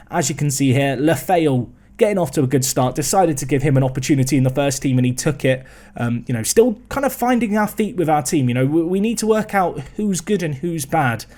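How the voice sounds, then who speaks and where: A man's voice: on the high side, darkish tone. A young man casually commenting on a football management game, chatty and self-mocking. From England. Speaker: gaming video host.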